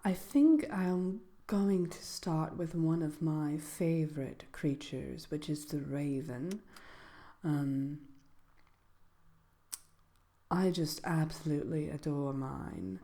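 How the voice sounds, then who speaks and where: A woman playing a genteel sorceress presenting a seminar in a soft-spoken ASMR roleplay, speaking in a hesitant, gently formal, measured way. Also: low-pitched. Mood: gentle, musing. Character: genteel female fairytale sorceress lecturing on magical companion animals.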